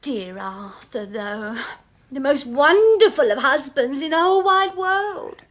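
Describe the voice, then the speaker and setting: A coarse, greedy widow in a radio drama, with a brash voice. Her high voice swoops up and down as she scoffs and complains. She sounds outspoken and scornful. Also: expressive, a little slow. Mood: gloating. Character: coarse, grasping middle-aged widow.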